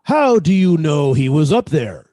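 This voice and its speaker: Guy playing a suspicious state cop in a radio play, blunt grilling, kinda high voice, real dry sound. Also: very animated, unhurried, dark tone, dry recording. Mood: accusing. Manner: blunt interrogator. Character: suspicious, accusing state policeman. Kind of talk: old-time radio drama acting.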